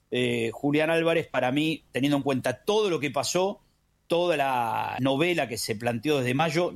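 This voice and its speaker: A man with an Argentine accent hosting a sports show, analysing football in an emphatic, rhetorical manner with deliberate pauses. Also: mid-range voice. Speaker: sports radio host.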